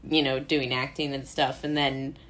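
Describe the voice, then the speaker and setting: Low-voiced young woman, chatty and goofy. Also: little pitch movement, normal speed, a bit bright. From Brooklyn, New York. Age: young adult. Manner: self-described goofy, chatty with frequent "like". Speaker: interview guest, playwright and actor. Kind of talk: casual interview chat.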